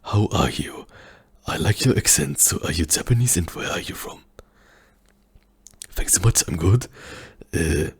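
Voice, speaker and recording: A man from a German-speaking country with a German accent, speaking softly and often in a whisper as he answers viewer questions in a hesitant way, with many pauses. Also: low voice, animated, slightly rough. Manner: hesitant, many fillers, searching for words. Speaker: ASMR channel host. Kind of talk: soft-spoken ASMR Q&A.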